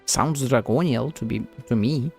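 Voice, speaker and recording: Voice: mid-range voice, a little slow, vocal fry, darkish tone. A game streamer giving casual live reaction commentary, chatty, blunt and sweary. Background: game music.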